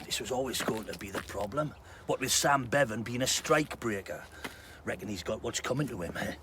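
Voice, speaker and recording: An actor playing a brisk, blunt mine under-manager, commanding his men in a radio drama. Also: medium pitch, animated, background noise, lightly acted. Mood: resigned.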